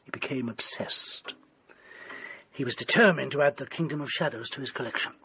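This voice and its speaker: An actor playing an agitated, obsessed English doctor in a radio drama, with formal, dramatic delivery. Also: male voice, medium pitch, brisk, sharp tone, slight background noise. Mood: grave, confiding. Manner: formal, dramatic, urgent pleading and grave storytelling. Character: agitated, desperate male doctor obsessed with an occult book. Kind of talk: British radio drama acting.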